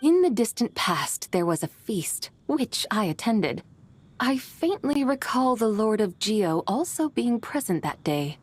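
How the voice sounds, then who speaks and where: A woman's voice: a little high, sing-song, measured pace, a little hiss or hum. A woman voicing a gracious, wise ancient immortal in a video game, speaking formally and with poise. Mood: gracious, reminiscing. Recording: background music.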